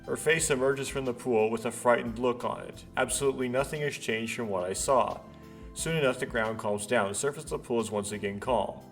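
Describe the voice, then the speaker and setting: A man doing a casual let's play, haltingly thinking aloud and reading visual novel text out. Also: medium pitch. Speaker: let's player. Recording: background music behind voice. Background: game music.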